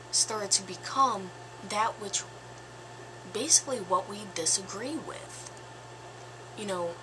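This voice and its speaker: A woman in her twenties with a slightly raspy voice, giving her opinion in a casual vlog, slow and thoughtful with pauses and fillers. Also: mid-range voice, background noise. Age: 20s. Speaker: vlogger.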